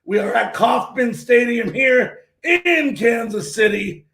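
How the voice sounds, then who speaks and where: A hoarse man hosting a sports talk show, his voice pitched high and gravelly with fry. He talks loud and opinionated, often near a shout, in an echoey room. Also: lively intonation, takes it slowly.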